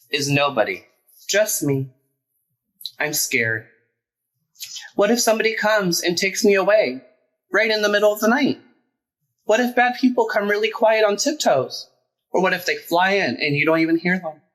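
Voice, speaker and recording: A man's voice: high voice, lively intonation, normal speed, pauses often, a bit bright, voice pushed up, rough recording. A man voicing a frightened, lonely little boy in a storybook, pleading with anxious questions. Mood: scared, lonely.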